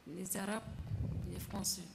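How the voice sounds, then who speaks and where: A woman's voice: mid-range voice, steady tone, moderate pace, vocal fry, background noise. Hesitant woman witness from Chad. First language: Arabic. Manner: hesitant, terse answers. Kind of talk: courtroom testimony. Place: courtroom.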